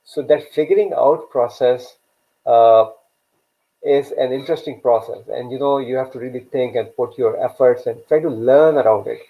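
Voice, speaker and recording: A man whose first language is Urdu hosts a live online chat, circling back and repeating words as he works out his point. His voice sits at an ordinary middle pitch. The sound comes through a narrow, tinny line, as if over a phone. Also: moderate pace. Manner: rambling, repeats words while thinking. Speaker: host. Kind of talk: live online talk show.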